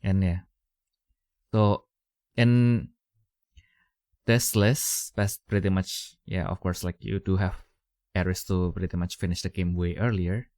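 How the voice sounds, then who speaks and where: A man's voice: low-pitched, steady tone, slow pace, pauses often, darkish tone, no room sound, clean studio sound. A young man, hosting a podcast in casual, rambling chat full of fillers and restarts. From Indonesia. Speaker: podcast host.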